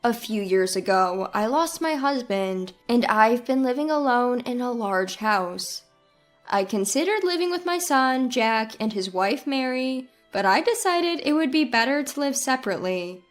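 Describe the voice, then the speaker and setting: A woman's voice: on the high side, animated, measured pace. A woman reading a scripted first-person story as its narrator. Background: background music.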